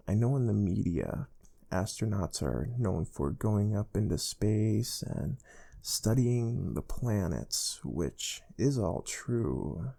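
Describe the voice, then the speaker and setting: A man playing a calm, soft-spoken space research team interviewer in an ASMR role play, explaining things gently and without hurry. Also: low voice, gravelly, exaggerated voice. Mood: calm, serious. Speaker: ASMR performer.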